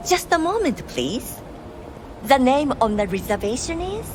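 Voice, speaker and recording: High-voiced, polite hotel receptionist woman. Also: very animated, measured pace, music in the background. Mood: courteous. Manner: courteous, scripted customer-service politeness. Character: polite, formal female luxury hotel receptionist. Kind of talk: video game voice acting. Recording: clear ambient noise behind.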